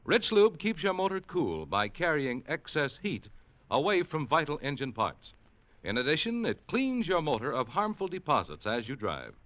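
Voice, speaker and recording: A polished 1940s radio announcer man reading an ad and the credits. His voice is fairly high, bright and lively, swinging up and down in the old declaiming style.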